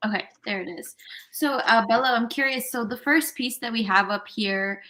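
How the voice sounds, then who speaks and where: A woman's voice: fairly high pitch, moderate pace, bright, forceful tone. A woman hosting a live-stream auction interview in an upbeat, casual way. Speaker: host.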